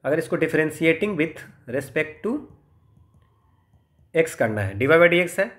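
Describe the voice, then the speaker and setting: A man's voice: on the high side, very animated, extremely slow, fairly bright tone. A man with an Indian accent teaching maths step by step, mixing Hindi and English and often answering his own questions. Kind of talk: exam problem-solving lesson, explaining step by step.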